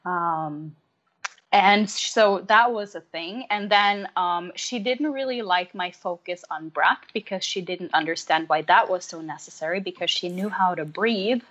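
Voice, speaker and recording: A woman whose first language is Norwegian, a yoga teacher telling a story in a casual conversation, pausing often with ums. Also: lowish voice, fairly bright tone, lo-fi sound. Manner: reflective, many ums and hesitations.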